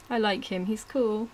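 A woman's voice: on the high side, slight background noise. A woman giving casual, informal let's-play commentary, muttering to herself and to the game as she plays. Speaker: gaming video host.

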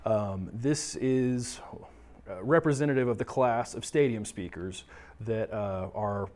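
A man's voice: lowish voice, a little slow, darkish tone. An American man in his thirties presenting a casual, conversational product explainer, often posing questions and then answering them. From Texas, United States. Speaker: tech talk presenter explaining audio products. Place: small indoor studio office, seated or standing at a table.

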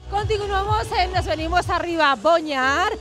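A very high-pitched woman reporter with a Spain accent chats playfully with festival-goers. She raises her voice outdoors over music and a busy crowd. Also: normal speed. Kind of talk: lively street interviews. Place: outdoors at a night street festival. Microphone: handheld reporter microphone.

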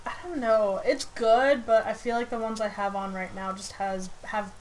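A woman's voice: medium pitch, fairly even intonation, normal speed, a little mellow, slight background noise, low-quality recording. A woman chatting casually and expressively as she plays a game on a stream. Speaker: gaming streamer. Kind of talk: casual co-op let's play chat.

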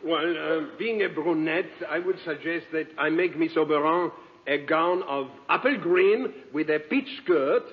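A man's voice: fairly high pitch, unhurried, natural voice. An actor playing an affected, fussy male fashion designer in a 1940s radio comedy. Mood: fussy, explaining. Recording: dull, noisy 1940s radio broadcast.

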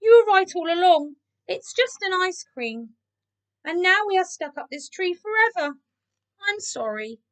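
A shy storybook tomcat, played by a woman reader, high and squeaky. He is flustered and sheepish, stumbling over himself as he bluffs, with pitch that swoops up and down. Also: sing-song, moderate pace, a bit bright, low-quality recording. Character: shy, flustered male cartoon cat pretending to do magic.

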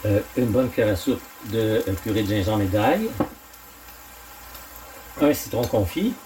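A middle-aged man, hosting a casual cooking demonstration at home and thinking aloud with frequent pauses. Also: fairly low pitch, animated, background noise. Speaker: home cooking channel host. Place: home kitchen, indoors.

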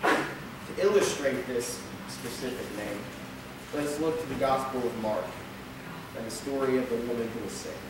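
A young man in his twenties reading a sermon at a pulpit in a small church, steady, with the odd stumble and filler word. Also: mid-range voice, moderate pace, distant mic, heavy background noise. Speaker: lay preacher. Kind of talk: sermon read from notes. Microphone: pulpit mic, camera at the back. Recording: echoey church hall recorded from afar.

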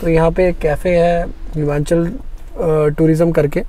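A man's voice: a little high, steady tone, measured pace, dark tone, dry recording, rough recording. Chatty young man vlogging from a car. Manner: chatty, addresses viewers directly. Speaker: travel vlogger. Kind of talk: casual travel vlog narration. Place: inside a car on the road.

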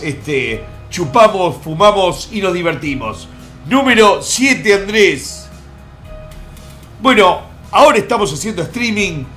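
Middle-aged man, high voice, crude rambling stream host, faint music behind. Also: a bit bright. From born in the United States. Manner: vulgar, joking, rambling asides. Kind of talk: crude comic countdown commentary. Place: home room in Miami.

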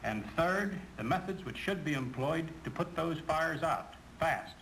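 A man playing a methodical American railroad official, speaking scripted, deliberate 1950s training film dialogue. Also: a little high, expressive, a bit bright. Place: conference room. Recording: noisy 1950s film soundtrack.

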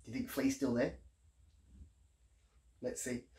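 A man's voice: medium pitch, flat delivery, unhurried, warm tone, reverberant. A man in his thirties reading a picture book aloud to young children in a playful, exaggerated storyteller way. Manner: playful, exaggerated storyteller delivery with questions to the listener. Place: small living room, indoors.

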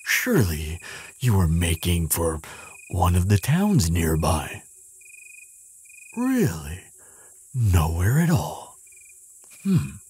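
A man as a deep, husky gentle giant. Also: huge pitch swings, slow, long pauses, full character voice. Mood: concerned, pondering. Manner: tender, reassuring, polite, slightly hesitant. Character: gentle, bookish male giant, kindly and protective. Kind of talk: roleplay audio drama, speaking soothingly to the listener.

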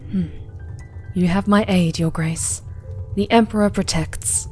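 Actress as a slow, mellow-voiced deferential woman. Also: mid-range voice, steady tone, close to an everyday voice. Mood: cautious, respectful. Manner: deferential, careful. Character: deferential, devout woman, guardian of a child. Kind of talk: audio drama acting. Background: faint music.

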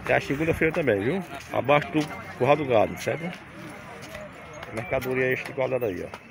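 A man's voice: medium pitch, brisk, creaky. A man with a Northeastern Brazilian accent, chatting casually as a vlogger outdoors and peppering his commentary with tag questions. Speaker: vlogger touring a livestock fair. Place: outdoors at a livestock fair. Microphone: handheld phone behind the camera. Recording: busy outdoor crowd behind voice.

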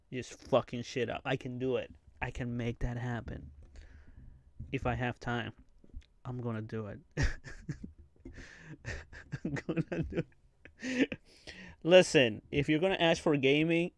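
A man's voice: medium pitch, smooth, no room sound. A man streaming a live reaction to anime clips, rambling casually with crude jokes and frequent giggling.

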